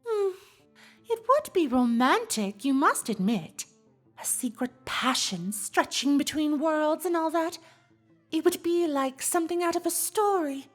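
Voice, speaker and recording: Posh-mannered cartoon unicorn gal, high voice, super swoopy and dramatic, teasing gossip. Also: female voice, animated, faint music behind. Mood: conspiratorial teasing. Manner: affected, genteel, theatrical delivery with drawn-out words. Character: elegant, teasing female unicorn socialite, theatrical and gossipy. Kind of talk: fan fiction audio drama acting.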